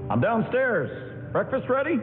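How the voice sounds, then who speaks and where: A hearty, hospitable middle-aged uncle in vintage radio drama style. His pitch moves freely, and the sound is narrow radio audio from a reverberant hall. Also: sing-song, a little slow, over music, heavily acted. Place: stage of a live radio theatre. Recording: tinny, echoey live hall radio broadcast.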